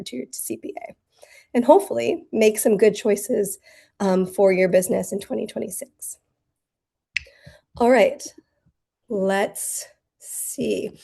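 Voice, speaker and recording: An American woman with a raspy, hoarse voice from the flu, teaching a bookkeeping webinar in a friendly, chatty way with many fillers. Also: medium pitch, dark tone. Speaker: bookkeeping instructor. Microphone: computer mic on a video call.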